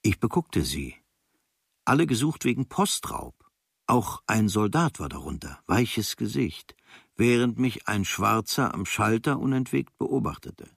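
Gravelly, low-voiced man reading a diary. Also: very animated, measured pace, no room sound, clean studio sound. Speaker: reader of a travel diary. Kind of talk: scripted literary narration, diary reading for radio. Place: dry studio.

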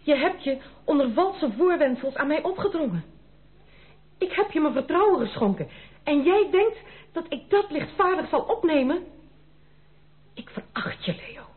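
A woman's voice: sits high, lively intonation, normal speed, long pauses. An actress playing a proud young noblewoman in a Dutch radio play, speaking in a haughty, dramatic and formal way. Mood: hurt, furious. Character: proud young noblewoman, wounded, indignant, unyielding. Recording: muffled narrow-band radio play recording.

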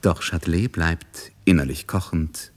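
A man's voice: sits low, unhurried. A man giving composed, wry literary narration as the narrator of a radio play.

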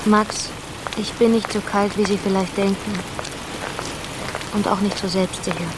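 A woman's voice: mid-range voice, level tone, unhurried, background noise, lightly acted. An actress playing a guarded, resolute politician's daughter in a German radio crime drama, reserved and controlled in her delivery. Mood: earnest, guarded.

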